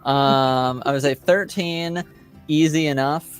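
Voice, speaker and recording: A man acting as game master, improvising narration and rulings in a hesitant, measured way with pauses. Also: a little high, steady tone, clean tone, soft background music.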